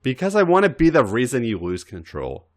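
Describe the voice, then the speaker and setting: A man voicing an older wrestler, calm, dry and insistently teasing, in an audio fan story. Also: mid-range voice, brisk, voice pushed up.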